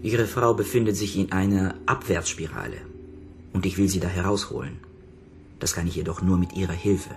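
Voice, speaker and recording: A low-voiced, probing psychotherapist. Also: male voice, fairly even intonation, background noise. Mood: probing, controlled. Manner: measured, formal, insistent questioning. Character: calm, probing male psychotherapist, authoritative and persistent. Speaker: actor in a radio crime play. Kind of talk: German radio drama acting.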